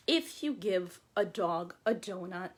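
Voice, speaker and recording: A woman in her forties, a children's librarian reading aloud to young children with expressive, deliberate phrasing. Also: fairly low pitch. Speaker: children's librarian hosting storytime. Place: small room at home or office, by window. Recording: clearly noisy home video with clicks.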